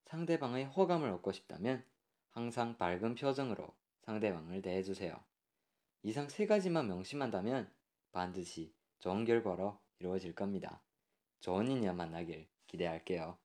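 Male host, very low voice, friendly advice segment, counts off tips. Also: expressive, normal speed, mellow. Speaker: radio-style show host. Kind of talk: casual chat and advice segment.

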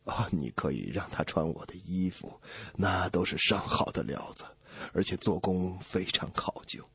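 A man voicing a rich old husband in a comedy radio skit, speaking slowly, low and solemnly. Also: fairly bright tone, husky, dry recording, full character voice.

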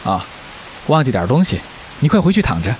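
A man's voice: medium pitch, big pitch swings, a little fast, darkish tone, lightly acted, low-quality recording. An actor in a radio drama playing a stern, protective middle-aged father, lecturing firmly. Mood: firm, caring. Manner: firm, lecturing. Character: stern, protective widowed father of a teenage girl. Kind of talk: Chinese radio drama acting. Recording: faint hiss, rain-like noise.

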